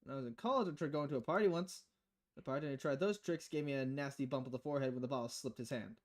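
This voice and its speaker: A woman voicing a low-key, dry-humored female bartender in a visual novel game, deadpan and a little hesitant. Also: quick pace.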